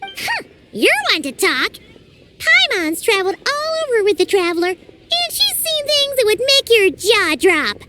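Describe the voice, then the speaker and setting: A tiny, cheeky fairy girl whose voice sits extremely high and leaps all over the place. She speaks slowly and deliberately, with a childlike squeak. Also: big pitch swings, loud music behind the voice, full character voice. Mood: sassy. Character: tiny floating female fairy companion, cheeky and excitable. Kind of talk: video game voice acting.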